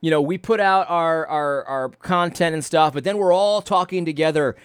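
A man's voice: on the high side, normal speed, clean tone, no room sound. Rambling commentary man, dry close sound. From Connecticut, USA. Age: adult, married. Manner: rambling, many restarts, mocking asides. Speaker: commentary host reacting to a clip. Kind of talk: casual reaction commentary.

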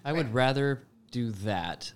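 A man's voice: medium pitch, lively intonation, measured pace, clean tone. A man talking in an informal, conversational way, as if chatting among friends. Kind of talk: casual chat.

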